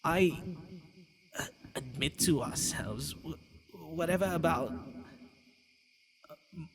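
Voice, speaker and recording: A man playing a centuries-old vampire lover, tender, possessive and flustered, halting and sighing in an intimate ASMR romance roleplay with night insects faintly behind him. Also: a little high, background noise. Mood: flustered, disbelieving. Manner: halting, stammering, breathy sighs.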